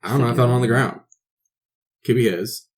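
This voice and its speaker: A man's voice: medium pitch, a little fast. A man playing a tabletop role-playing game, casually describing and acting out what his character does.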